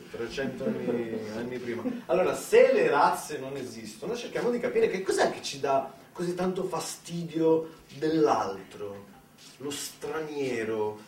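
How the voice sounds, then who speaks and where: Guy doing a stage monologue, swings quiet to loud, bit far from the mic, some hiss. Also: medium pitch. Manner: emphatic, deliberate pauses, swinging from quiet to loud. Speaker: stage actor performing a monologue. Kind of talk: theatrical monologue, conversational storytelling to an audience. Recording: roomy, slightly distant, noisy live capture.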